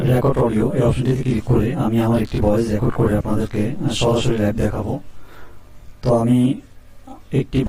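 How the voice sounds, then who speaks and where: A man hosting a step-by-step software tutorial. He keeps checking in with the viewer as he explains each click. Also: medium pitch, roomy sound, distant mic, low-quality recording. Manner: steady instructional, frequent "ঠিক আছে?" check-ins. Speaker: tutorial host. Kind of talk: screen-recorded software tutorial.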